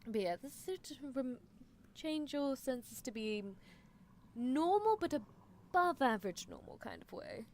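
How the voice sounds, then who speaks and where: A woman's voice: high-pitched, big pitch swings, slight background noise, exaggerated voice. A woman voicing an eerie, playful mad-scientist horse girl in an ASMR roleplay, teasing and murmuring as she dotes on her test subject. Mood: clinical, coaxing. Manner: teasing, unhurried, murmured musing with hums.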